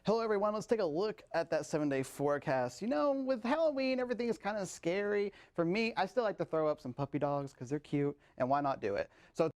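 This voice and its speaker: A man's voice: sits high, lively intonation, fast, hardly any pauses, darkish tone. A young man working as a student TV weather anchor and reporter, presenting in a chatty, eager way and often repeating his phrases. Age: young adult. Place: TV studio and news reports.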